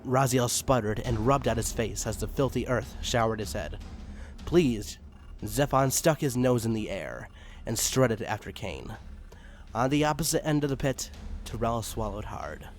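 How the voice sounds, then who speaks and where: A man's voice: mid-range voice, expressive, unhurried, slight background noise. A man reading dramatic fiction aloud as a scripted narrator. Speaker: narrator and story reader. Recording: background music under the reading. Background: music.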